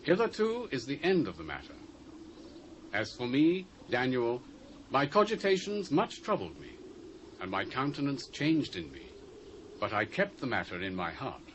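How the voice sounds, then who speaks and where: A man's voice: medium pitch, huge pitch swings, normal speed, a bit bright, a little hiss or hum. A British man acting a troubled, solemn prophet in a dramatised scripture reading, with theatrical emphasis. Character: troubled prophet Daniel recounting a vision.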